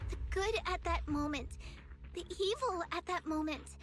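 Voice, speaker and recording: A woman's voice: very high voice, moderate pace, soft background music. A woman voicing an earnest, righteous young tiger girl disciple in an anime-style game. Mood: solemn, resolute. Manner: earnest, declarative, heroic.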